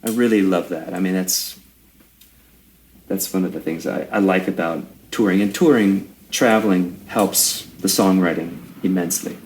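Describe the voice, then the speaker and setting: A man's voice: low-pitched, normal speed, a little hiss or hum, rough recording. A middle-aged man talking as a guest musician in a casual conversation, in a thoughtful, meandering way that often trails off.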